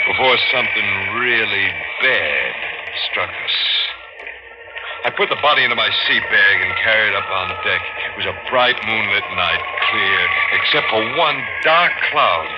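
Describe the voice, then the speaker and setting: An actor playing a tormented, guilt-ridden sailor in a 1940s radio mystery, narrating with dramatic, brooding intensity. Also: male voice, lowish voice, natural voice. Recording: noisy, muffled 1940s radio recording.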